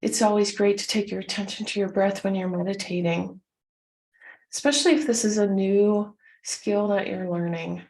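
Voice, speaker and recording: A woman leading a guided meditation, gently instructing in short, soothing phrases with unhurried pauses. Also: mid-range voice, rough recording.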